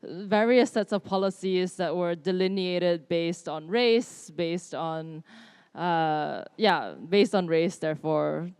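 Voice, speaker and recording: A young woman speaking on an art panel with a smooth, resonant, slightly low voice. The sound is dry and close, and she talks in an academic way full of hedging fillers. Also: takes it slowly, darkish tone, dry recording. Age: young adult. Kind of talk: panel discussion.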